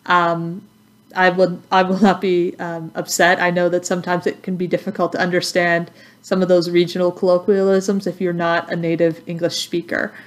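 A woman from Texas with a Texan accent, an instructor giving a recorded welcome introduction in a friendly, measured way with many ums. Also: lowish voice, monotone.

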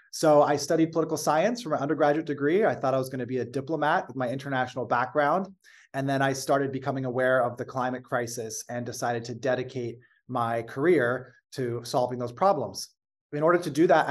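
Steady, fluent American man. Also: medium pitch. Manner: measured, fluent storytelling with occasional fillers. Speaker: guest, company founder. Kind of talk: casual interview talk.

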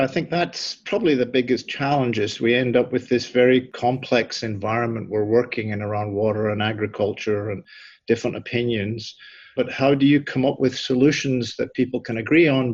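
Middle-aged scottish guy on a podcast, thinks out loud, stumbles and restarts, dry slightly muffled sound. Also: mid-range voice, husky, dry recording. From Scotland. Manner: thoughtful, frequent restarts and repeated words. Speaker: institute director, guest. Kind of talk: interview answers.

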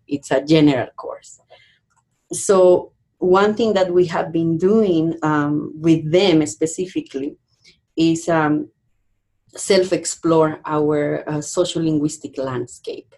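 Low-voiced Peruvian-born woman educator. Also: fairly even intonation, darkish tone. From Peru. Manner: thoughtful, halting, many fillers and restarts. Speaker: university educator, guest. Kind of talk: podcast interview conversation.

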